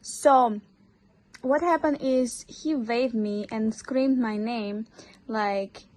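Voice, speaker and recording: A young woman with a Ukrainian accent speaks casually and matter-of-factly, in a somewhat high voice. Her delivery is relaxed and conversational, like a quick vlog update. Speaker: vlogger.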